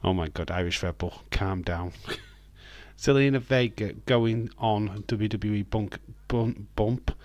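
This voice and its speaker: Slightly croaky man chatting casually on stream. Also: lowish voice, takes it slowly, a little mellow, no room sound, dull audio. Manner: rambling, friendly, with frequent hesitant fillers. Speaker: live stream host. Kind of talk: casual live watch-along chat, reading viewer comments.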